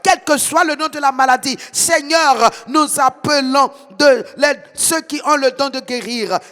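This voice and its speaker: A man's voice: very high voice, a little slow. A man with an Ivorian French accent leading fervent prayer in a rhythmic, chanting way, repeating phrases with intensity. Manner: intense, rhythmic repetition, bursts into tongues. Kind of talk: fervent morning prayer, sing-song chanting. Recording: reverberant hall with soft music behind.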